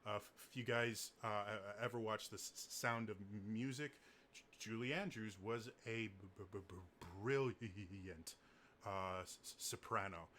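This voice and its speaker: A man with a naturally deep voice giving casual singing tips, stuttering often on the first sounds of words. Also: measured pace, fairly bright tone, a little hiss or hum. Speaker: singing coach. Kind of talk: casual advice talk to camera.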